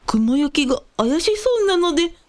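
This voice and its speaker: A woman playing a flippant, wealthy male cult leader in a comic radio drama, with theatrical, over-polite speech and playful drawn-out exclamations. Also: very high voice, very animated, a little mellow. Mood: breezy, then sheepish.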